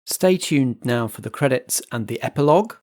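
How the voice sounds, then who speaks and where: A man's voice: mid-range voice, animated, moderate pace, a little mellow. A man hosting a podcast as compere, reading scripted announcements in a theatrical style. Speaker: host, announcer.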